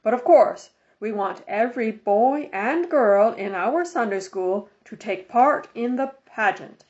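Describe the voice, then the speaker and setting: Male superintendent for a storybook, kindly, formal, deliberate announcer delivery read by a woman, slightly dull sound. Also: on the high side, expressive, a little mellow. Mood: official, upbeat.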